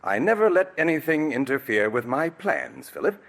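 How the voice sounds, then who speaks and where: An actor playing a scheming male poisoner in a 1940s radio drama, with a husky voice and a silky, menacing way of talking full of hesitant fillers. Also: a little high, very animated, moderate pace, a bit bright, exaggerated voice. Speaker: villain, Roger. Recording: old 1940s radio broadcast recording.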